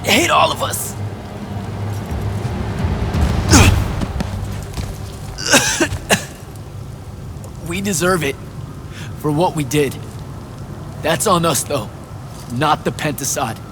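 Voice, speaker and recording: Game lead: young hot-headed hero, high for a male, very animated delivery, earnest and blunt. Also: slow, long pauses, music in the background. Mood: remorseful. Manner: urgent, blunt, profane. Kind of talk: video game cinematic acting.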